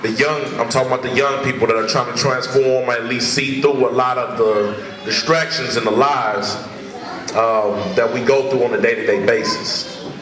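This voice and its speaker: A man's voice: mid-range voice, steady tone, moderate pace. A man from Richmond, California, a community youth worker, speaks casually and conversationally to an audience, with plenty of fillers and asides. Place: large indoor hall with an audience. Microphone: far camera. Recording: echoey hall from far off with crowd.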